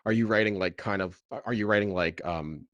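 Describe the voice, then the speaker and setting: A man explaining technology as an interview guest, in a casual, halting way with repeated words. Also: on the low side, fairly bright tone, no echo, dull audio. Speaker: tech founder as podcast guest.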